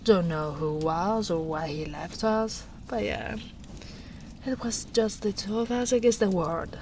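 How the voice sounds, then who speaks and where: A woman voicing a gentle, protective female ogre in a soft-spoken roleplay, talking in a hushed, half-whispered way. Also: medium pitch, unhurried, background noise, natural voice. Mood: wistful.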